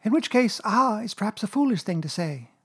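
A man's voice: high voice, animated, fairly quick, a bit bright, slightly rough, pitched above the performer's own voice, heavily acted. A man voicing a very small, timid piglet in a children's storybook, earnest, wordy and nervous. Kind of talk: children's storybook character reading.

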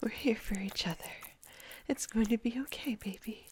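A woman playing a doting, soothing character in a whispered ASMR roleplay, mixing breathy whispers with soft murmurs. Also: a little high, normal speed, slight background noise, lightly acted.

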